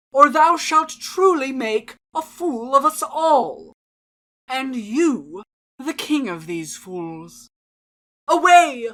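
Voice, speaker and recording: A woman's voice: sits high, a bit bright, voice pushed up, heavily acted. A woman performing a merry, cautioning jester herald in a theatrical, mock-Shakespearean dramatic reading. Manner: grandiose, drawn-out, declamatory delivery.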